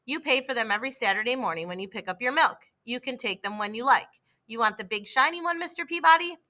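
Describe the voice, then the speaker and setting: A woman puts on a friendly, easygoing fruit market man for a children's storybook. The voice is bright and breezy, with an inquisitive lilt. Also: on the high side, animated, fast talker, voice pushed up.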